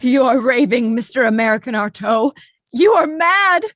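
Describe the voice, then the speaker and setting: A woman plays a female interrogator in a radio play. Her high voice is accusing and exclamatory, full of theatrical scorn for the madman she questions. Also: animated, normal speed, a bit bright. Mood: scornful, alarmed.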